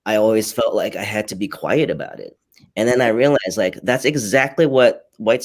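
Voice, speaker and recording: A man with a fairly low voice whose first language is Cantonese. He shares a candid personal reflection on a panel and speaks earnestly. He sometimes repeats a word before settling on what he means. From Hong Kong. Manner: earnest, repeats words while gathering thoughts.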